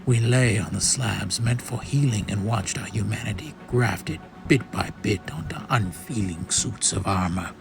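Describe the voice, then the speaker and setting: Grave, low, gravelly male narrator. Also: a little slow, hardly any pauses. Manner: grave, solemn, theatrical. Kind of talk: dramatic first-person scripted narration of a space comic. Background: music.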